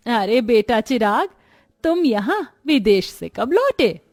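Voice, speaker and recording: A woman voicing a middle-aged Indian mother-in-law in a story, gentle and motherly. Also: a little high, sing-song, slow, heavily acted. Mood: welcoming, bossy.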